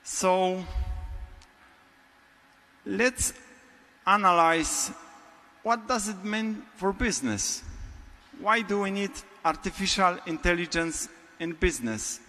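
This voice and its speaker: A man with a Polish accent delivers a prepared keynote in a large hall. His voice sits a little high and bright, and he speaks very slowly, pausing between short phrases. A long reverb from the room trails after each phrase. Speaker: conference speaker.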